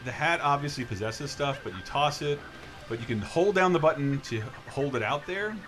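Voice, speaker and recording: A man's voice: on the high side, animated, a little fast, music in the background. A man hosting casual live video game commentary, explaining things in an informal, sweary way. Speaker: host playing and demonstrating a video game.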